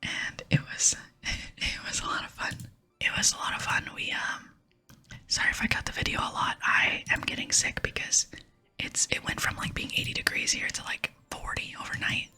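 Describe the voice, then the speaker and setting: A young adult woman with an American accent whispers softly and intimately in a casual, rambling ASMR chat as a gaming host, close to the microphone. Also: moderate pace. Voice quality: breathy, unvoiced whisper.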